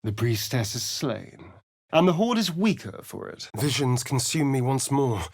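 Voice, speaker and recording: An actor playing a sardonic, foppish vampire spawn rogue in a video game, with an arch, theatrical, disdainful drawl. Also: male voice, medium pitch, expressive, measured pace, creaky, no room sound, studio quality. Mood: brooding, dramatic. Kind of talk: video game voice acting, companion dialogue and narration.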